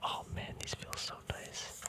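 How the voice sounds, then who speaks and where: Soft whispering ASMR host, rambling casually. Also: unhurried. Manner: rambling, hesitant, thinking aloud. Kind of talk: ASMR whispering, casual card unboxing chat.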